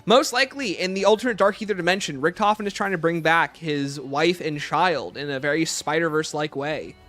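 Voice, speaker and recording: A man's voice: a little high, a little fast, fairly bright tone. A man hosting a livestream, reading out chat questions and answering them informally while thinking aloud. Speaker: livestream host.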